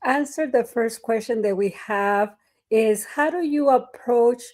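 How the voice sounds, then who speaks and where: Mellow grandmotherly woman from Mexico, host on a video call. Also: fairly high pitch, unhurried. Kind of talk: casual live chat.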